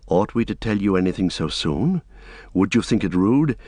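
A man's voice: sits low, expressive, normal speed, darkish tone, slightly rough, no room sound. A man voicing a small, earnest young hobbit in an audiobook, speaking politely.

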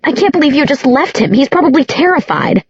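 A woman's voice: a little high, lively intonation, moderate pace, slightly rough, dry recording, highs cut off, pitched above the performer's own voice, exaggerated voice. A woman voicing a fierce, loyal, anxious young woman in an audiobook, speaking with urgent emotion. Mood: angry, alarmed.

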